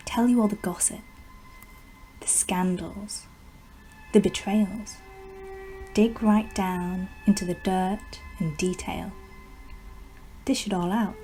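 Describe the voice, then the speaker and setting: A woman performs as a sinister, obsessive plant whisperer in a poetic radio-drama monologue. Her delivery is unhurried and hushed, with deliberate pauses and a soft, mellow tone. Faint music sits behind her. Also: mid-range voice, natural voice, rough recording. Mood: sly, confiding.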